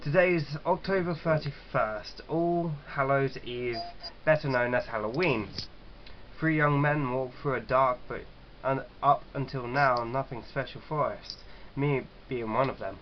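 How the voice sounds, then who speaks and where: A man casually hosting a let's-play, chatting and reading game text aloud in a halting, stumbling way. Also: medium pitch. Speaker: gaming channel host. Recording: dull lo-fi mic with clear background noise.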